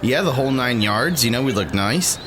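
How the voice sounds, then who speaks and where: A man recounts a night out in an animated, laughing way, his pitch leaping around as he mimics other people. The recording has a steady hum of background noise behind him. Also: a little fast. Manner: animated, acts out quoted voices, laughs often. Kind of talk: casual storytelling chat. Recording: noisy background.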